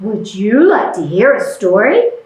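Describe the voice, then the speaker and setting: A woman voicing a gentle, sleepy, friendly male bear from a children's storybook, in a kindly, expressive way. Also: mid-range voice, measured pace, pitched below the performer's own voice. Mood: gently hopeful. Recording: echoey room.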